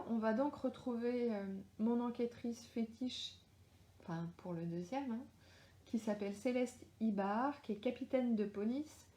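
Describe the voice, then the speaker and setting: Middle-aged woman, strong French accent, mellow voice, hesitant and chatty, echoey room. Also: a little high. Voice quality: hesitant, many fillers and restarts. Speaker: author answering readers live. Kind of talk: casual live Q&A chat. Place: home, lives in Australia.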